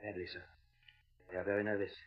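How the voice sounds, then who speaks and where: An actor playing a nervous, deferential submarine lieutenant in a 1950s radio drama. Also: male voice, on the low side, level tone, fast talker, lightly acted. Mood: uneasy. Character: anxious, dutiful German submarine lieutenant. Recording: dull old radio recording.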